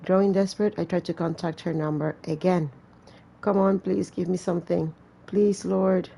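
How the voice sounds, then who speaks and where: A woman's voice: lowish voice, steady tone, moderate pace, gravelly, warm tone. A woman doing casual gameplay commentary, reading a story aloud with chatty, joking asides. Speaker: gamer playing and reading aloud a horror visual novel.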